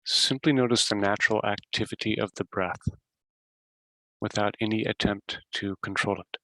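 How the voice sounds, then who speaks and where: Low-voiced man guiding a meditation. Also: fairly even intonation, sharp tone, dry recording, poor audio. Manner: measured instructional delivery with long pauses between short phrases. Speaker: meditation guide and podcast host. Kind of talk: guided meditation narration.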